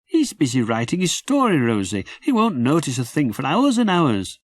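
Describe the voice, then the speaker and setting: High, pleading little boy rag doll, voiced by a man. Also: huge pitch swings, normal speed, dry recording, studio quality. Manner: wheedling, questioning.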